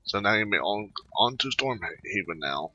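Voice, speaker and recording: A man's voice: on the low side, lively intonation, normal speed, pushed and bright, husky. A man giving casual, offhand commentary as he plays through a video game. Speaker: gamer, let's-play host. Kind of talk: casual game playthrough commentary, reading some dialogue aloud. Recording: dull, band-limited close dry microphone.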